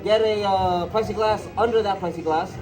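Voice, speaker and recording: Congested high-voiced man chatting casually. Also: fairly even intonation, over music. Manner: rambling, halting, joking asides. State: congested head cold. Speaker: co-host. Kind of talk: casual gaming chat.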